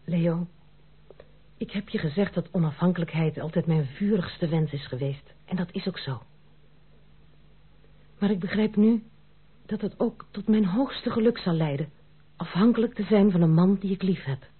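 A woman's voice: on the low side, normal speed, long pauses, breathy edge. An actress playing a proud, independent young noblewoman in love, speaking with earnest, formal diction in a Dutch radio play. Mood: tender, solemn. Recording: muffled, narrow-band old radio recording.

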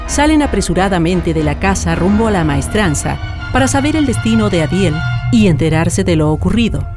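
A woman narrates a radio drama in a scripted, dramatic historical style. Her voice is on the low side, with music clearly running beneath it. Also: big pitch swings, a little fast. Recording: music bed under narration.

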